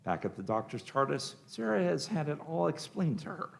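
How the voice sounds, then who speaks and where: Male narrator for radio drama, gravelly and lively, scripted stage directions. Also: fairly high pitch, normal speed.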